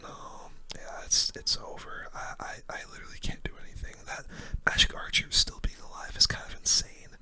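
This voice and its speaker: Asmr gamer whispering right into the mic, super bright airy whisper, chill rambly play-by-play. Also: moderate pace, few pauses. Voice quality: soft, breathy unvoiced whisper. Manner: casual, laid-back rambling. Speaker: gaming video creator. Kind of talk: whispered ASMR game commentary.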